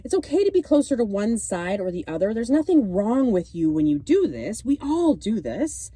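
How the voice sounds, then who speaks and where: Warm dark-voiced woman coach with a cold. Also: on the high side, sing-song, moderate pace, slightly rough, no room sound. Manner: earnest, encouraging coaching talk. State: has a cold. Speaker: podcast host and divorce confidence coach. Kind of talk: solo podcast monologue.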